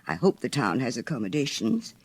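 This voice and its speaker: A woman voicing a small, proud, flighty male swallow in a storybook, with a brisk, self-assured, exclaiming manner. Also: very deep voice, wildly swooping pitch, slightly rough, full character voice. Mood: pleased. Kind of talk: storybook character reading.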